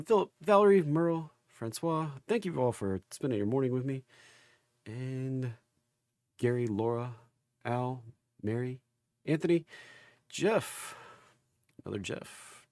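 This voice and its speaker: Male livestream market host, gravelly and under the weather, informal folksy delivery, dry recording. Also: mid-range voice, lively intonation, unhurried, long pauses, a little mellow, dry recording. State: recovering from an illness. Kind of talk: casual livestream chat and market commentary.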